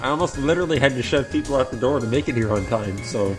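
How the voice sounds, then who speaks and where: A man's voice: mid-range voice, fast talker, music in the background. A man co-hosting a casual chat in a joking, teasing manner. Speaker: podcast co-host.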